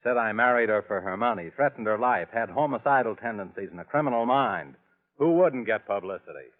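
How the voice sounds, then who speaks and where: An actor as a low, surly co-pilot. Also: male voice, lively intonation, fairly quick, big-room sound, natural voice. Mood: bitter. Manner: blunt, slangy. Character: surly, defensive American airplane co-pilot. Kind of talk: old-time radio drama acting. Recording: boomy, narrow vintage radio recording.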